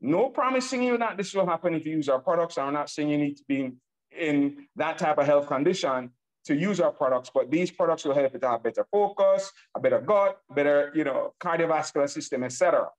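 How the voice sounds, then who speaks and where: A man's voice: fairly high pitch, a little fast, low-quality recording. A man from Nigeria giving an enthusiastic sales presentation on a laptop video call, repeatedly calling out to the audience. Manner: enthusiastic and hype-driven, repeats himself, addresses audience as ladies and gentlemen. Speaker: presenter of an online business webinar.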